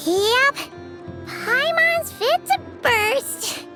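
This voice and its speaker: Casting: a tiny bubbly game fairy, squeaky, extremely high, swooping delivery over music. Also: female voice, lively intonation, extremely slow, exaggerated voice. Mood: gleeful. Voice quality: squeaky, childlike. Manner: talks of self in third person, bubbly. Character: tiny childlike chirpy fairy companion, food-loving. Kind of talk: video game character voice acting.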